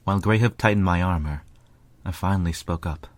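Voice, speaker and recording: A man's voice: low-pitched, fairly quick, dry recording. A man reading scripted first-person fiction as its narrator.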